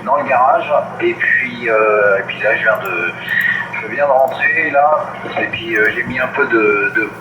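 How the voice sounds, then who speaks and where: A man's voice: mid-range voice, measured pace, highs cut off. A man chatting casually over CB radio as an operator, hesitating and repeating himself. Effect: CB radio transmission. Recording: very noisy echoey CB radio signal.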